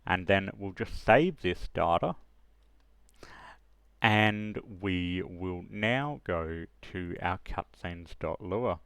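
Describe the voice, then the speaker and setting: A man with a low voice and a noticeable creak presents a tutorial. He talks casually, thinking each step through out loud and sometimes hesitating. The recording is close and dry. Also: animated, slow pace, dry recording.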